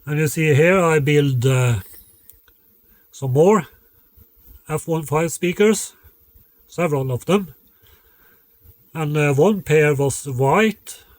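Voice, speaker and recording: A man who designs loudspeakers explains his work in a halting, matter-of-fact way, stopping often to find his words. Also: a little high, dry recording.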